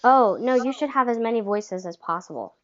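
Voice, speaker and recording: A woman's voice: fairly high pitch, lively intonation, a little fast, a bit bright, muffled recording. A woman coaching voice actors in a live webinar, answering questions in a friendly, chatty way with many fillers. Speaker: voice acting coach. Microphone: webcam call.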